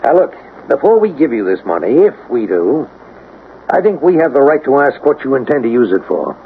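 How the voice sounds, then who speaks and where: An American actor playing a firm, skeptical army general in a radio drama, with brisk, authoritative military delivery on an old broadcast. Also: male voice, medium pitch, sing-song. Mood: wary, questioning. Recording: noisy, narrow-band old radio broadcast.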